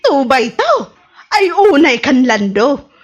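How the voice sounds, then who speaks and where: An actress with an Ilocano accent playing an exasperated village shopkeeper in a radio comedy, scolding with incredulous exclamations. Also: female voice, high-pitched, big pitch swings, takes it slowly, heavily acted.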